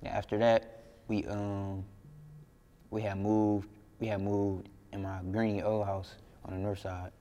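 A young man from Flint, Michigan, a rapper telling stories in a casual interview, laid-back and slangy, often trailing off quietly. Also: fairly low pitch, level tone, measured pace, in a large hall. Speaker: rapper guest. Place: indoor interview room.

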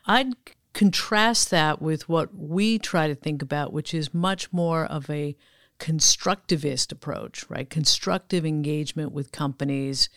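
A woman giving measured, businesslike explanations as an executive guest in a podcast interview. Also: deep voice, fairly bright tone, no room sound, studio quality. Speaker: podcast guest, chief investment officer.

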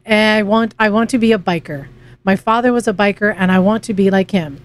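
A woman co-hosting a casual motorcycle podcast, playfully reading a listener email aloud in halting bursts. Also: medium pitch, clear, resonant tone. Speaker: podcast co-host. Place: garage with a fan running.

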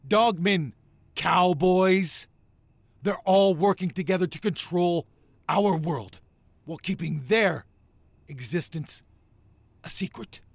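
A paranoid conspiracy theorist in an audio drama, his voice gravelly and on the high side. He sounds earnest, secretive and jittery, recorded close and dry. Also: slow, pauses often, no echo, full character voice. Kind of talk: audio fiction drama acting.

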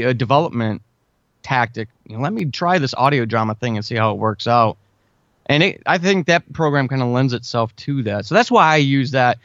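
A man's voice: mid-range voice, no room sound, highs cut off. A man chatting informally and earnestly as an interview guest, full of enthusiasm for his craft. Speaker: interview guest, audio drama writer. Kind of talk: casual chat.

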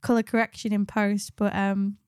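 A woman's voice: mid-range voice, flat delivery, normal speed, darkish tone, smooth, no echo, studio quality. A woman co-hosting a podcast in an informal, chatty, jokey way, sounding bunged up with a cold. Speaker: podcast co-host, business psychologist.

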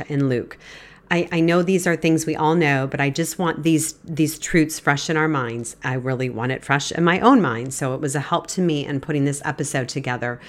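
A woman in her fifties with a congested, stuffy voice, gently teaching a devotional Bible lesson on her podcast. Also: low-pitched. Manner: earnest, gentle, throat-clearing. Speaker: podcast host.

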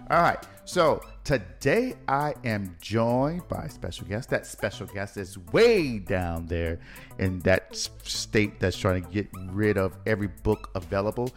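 Male podcast host, low voice, teasing and playful, faint music underneath. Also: expressive. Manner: playful, teasing, drawn-out phrasing. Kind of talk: casual chat. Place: home bar.

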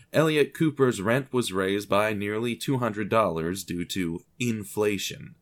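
A man reading scripted story narration with a dry, wry, understated manner. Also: on the low side.

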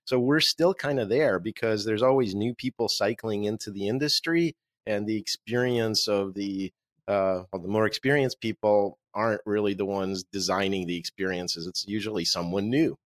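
A man in his forties, born in Russia with Russian as his first language, answering interview questions as an author in a relaxed, joking way. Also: fairly low pitch, normal speed, dry recording. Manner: relaxed, joking, laughs at own quips. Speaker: author and marketing agency owner.

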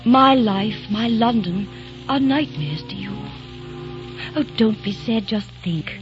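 A woman's voice: fairly high pitch, expressive, slow. A woman acting a young eighteenth-century English lady in a 1940s radio drama, earnest and pleading. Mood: tender. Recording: dull old radio broadcast with music.

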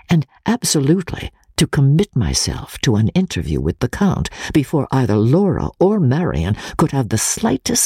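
A man narrating classic literature in the first person as a dramatic reading, in a measured, formal, deliberate manner. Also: medium pitch, a little mellow, slightly rough, no room sound, studio quality. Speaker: audiobook narrator.

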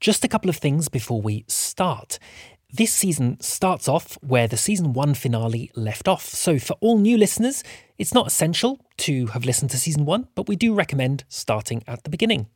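A man's voice: fairly high pitch, sing-song, normal speed, breathy edge, dry recording, clean studio sound. A man hosting a podcast, reading scripted announcements in an upbeat, welcoming manner. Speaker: podcast host.

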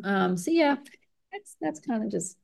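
Woman with a mixed British-Australian accent, gravelly. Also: a little high, unhurried. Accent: mix of British and Australian. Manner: relaxed, rambling, many fillers and restarts. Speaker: guest, retired pro triathlete. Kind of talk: casual interview chat.

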